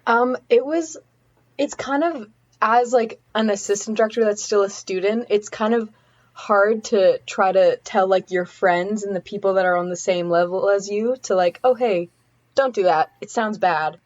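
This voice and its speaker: A woman's voice: medium pitch, normal speed, dry recording. Chatty teen girl, slightly dull sound. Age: teens. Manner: chatty, fillers of like, earnest. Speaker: student guest. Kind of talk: casual interview answer.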